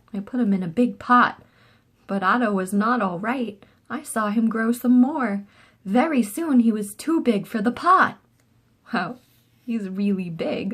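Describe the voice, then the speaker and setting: Slow, husky woman reading to children. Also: a little high. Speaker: children's story reader. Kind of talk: expressive read-aloud narration for young children.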